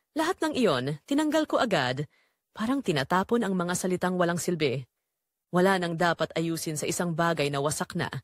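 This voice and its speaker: A woman with a Filipino accent playing a betrayed, resolute daughter, narrating her story in a solemn, deliberate, emotional way. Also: medium pitch, no echo, clean studio sound. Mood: hurt, somber. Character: Clarice, betrayed daughter and wife, wounded, resolute. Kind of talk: first-person dramatic scripted narration.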